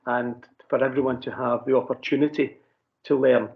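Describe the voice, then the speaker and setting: A man, a councillor, giving a halting formal tribute at a committee meeting, with many fillers and restarts. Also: mid-range voice, little pitch movement, creaky. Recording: dull lo-fi meeting audio.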